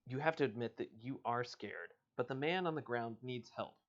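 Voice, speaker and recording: A man's voice: mid-range voice, normal speed. A man hosting an informal livestream, reading an adventure story aloud and chatting casually. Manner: informal, bantering with co-host and viewers. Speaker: host reading an interactive adventure book aloud. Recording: dull lo-fi livestream audio.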